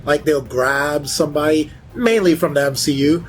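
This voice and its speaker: A man in a casual group conversation, with a voice pitched a little high for a man. Some music plays softly behind him. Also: normal speed. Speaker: panelist. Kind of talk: casual chat.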